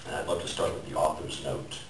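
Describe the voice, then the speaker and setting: A man with a deep voice gives a formal introduction to a staged reading. He is picked up from a distance in an echoey room with clear background noise. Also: fairly even intonation, brisk. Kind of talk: formal introduction and read author's note. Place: library room, live event.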